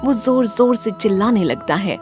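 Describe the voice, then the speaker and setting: A woman narrating a scripted horror story in a dramatic, deliberate storytelling manner. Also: mid-range voice, animated, over music.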